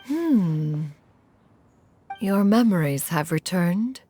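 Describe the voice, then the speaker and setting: A woman playing a dignified, aloof immortal sage in a game, speaking formally and measuredly with a husky, creaky voice. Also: lowish voice, animated, drawn-out words, dark tone, soft background music. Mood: curious. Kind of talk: game story voice acting.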